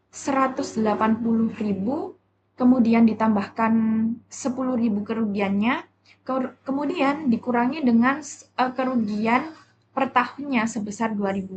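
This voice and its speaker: A young woman with an Indonesian accent gives a halting, step-by-step explanatory class presentation as a student. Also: a little high. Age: young adult. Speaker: university accounting student presenter. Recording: dull lo-fi audio, faint background noise.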